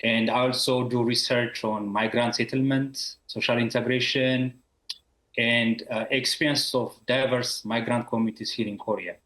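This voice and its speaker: A man's voice: medium pitch, fairly even intonation, moderate pace, a bit bright, echoey room, low-quality recording. A man from Ethiopia, a university professor in his thirties, answering interview questions over a video call with many fillers and restarts.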